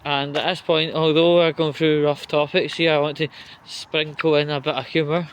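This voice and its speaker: A man giving an off-the-cuff commentary rant, sweary and sardonic, speaking in short halting phrases. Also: a little high, level tone, a little hiss or hum. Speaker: vlogger giving political commentary.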